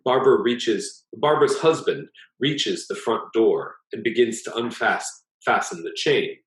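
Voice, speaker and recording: A man reading his own short story aloud as an author, in a measured, expressive narrating manner. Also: mid-range voice, roomy sound, poor audio.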